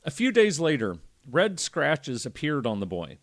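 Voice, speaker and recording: A man's voice: on the high side, wildly swooping pitch, measured pace. Irreverent man telling a story on a podcast. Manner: irreverent, profane. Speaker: podcast host. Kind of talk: reading a story aloud with casual joking asides.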